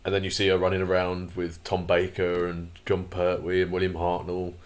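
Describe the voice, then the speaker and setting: A man hosting a casual commentary vlog, his voice croaky, rambling with lots of fillers and opinionated asides. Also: deep voice, monotone, normal speed. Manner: rambling, many fillers and restarts. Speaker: channel host.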